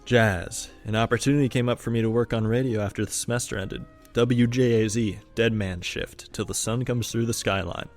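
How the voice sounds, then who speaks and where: A man's voice: mid-range voice, steady tone, normal speed, few pauses, faint music behind, natural voice. A young man playing an aloof, romantic student who dreams of jazz radio, understated and wry, in an amateur radio drama. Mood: resolute, wistful.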